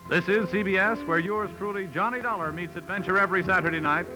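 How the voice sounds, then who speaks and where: A man's voice: high-pitched, fast, over music, rough recording. An American man announcing formally for 1940s network radio, with polished, emphatic phrasing. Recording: vintage 1940s radio recording.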